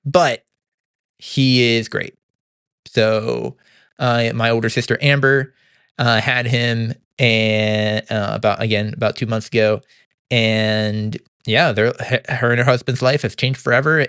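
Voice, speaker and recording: A young man talks through a casual podcast recap, upbeat and quick to laugh, often stopping and restarting his sentences. His voice is smooth and clear, with a slight gravel now and then, and it sits at a middle pitch. The recording is close and dry, with no room sound. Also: a bit bright, no room sound. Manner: halting, many restarts and stammers. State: throat sometimes tight and slightly gravelly. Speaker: podcast host. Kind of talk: casual monthly recap monologue.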